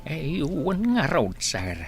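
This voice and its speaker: A man's voice: on the high side, wildly swooping pitch, takes it slowly, faint music behind, full character voice. Actor as a humble, swooping-voiced village man. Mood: respectful, concerned. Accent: Ilocano. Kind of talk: Ilocano radio comedy-drama acting.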